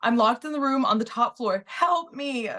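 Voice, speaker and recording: A woman's voice: on the high side, moderate pace, a bit bright, lightly acted. A woman playing a terrified young beauty queen in a horror script table read, frantic and pleading. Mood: desperate pleading.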